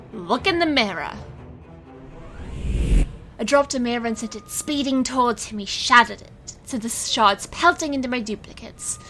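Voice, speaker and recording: A woman's voice: sits high, bright, forceful tone, exaggerated voice. A woman playing a witty, defiant young stage magician, sarcastic and theatrical, narrating and acting in an audio drama. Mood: taunting.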